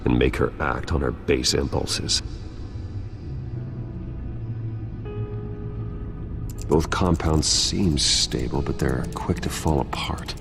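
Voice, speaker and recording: A brooding vigilante detective with an extremely deep, low voice. He talks in short, measured, deliberate lines. A loud game score plays behind him. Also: gravelly, full character voice. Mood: analytical. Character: brooding masked vigilante detective, grim man. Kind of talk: video game voice acting. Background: music.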